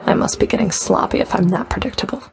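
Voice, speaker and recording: An actress playing a stern, sardonic underworld Fury overseer in a video game, curt and commanding, with a gravelly, creaky voice. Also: female voice, medium pitch, fast, a little mellow, natural voice. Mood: cold, grudging. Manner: curt, dry, commanding, grudging.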